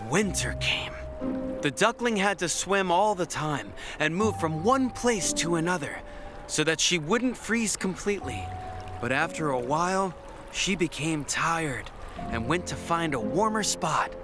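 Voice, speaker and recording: A man's voice: a little high, lively intonation, measured pace. A man reading a scripted children's bedtime story as the narrator, with music behind him.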